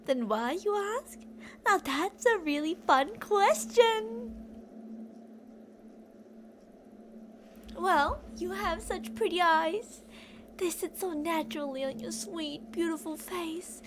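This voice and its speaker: A woman's voice: high voice, noisy background. A woman playing an obsessive, delusional female kidnapper who rambles theatrically and teasingly to a captive in an ASMR roleplay. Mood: adoring, teasing.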